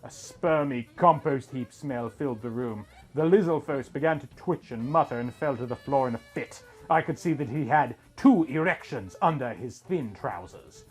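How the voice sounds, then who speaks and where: A man's voice: medium pitch, expressive, moderate pace, few pauses. A man reading a scripted first-person story as its narrator. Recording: music bed under the voice. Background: music.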